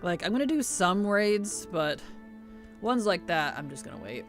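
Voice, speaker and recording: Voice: mid-range voice, sing-song, normal speed, a bit bright, soft background music. Chatty streamer with a cough drop. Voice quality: sensitive, slightly rough throat. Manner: chatty, answers chat while playing. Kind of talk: casual gameplay chat.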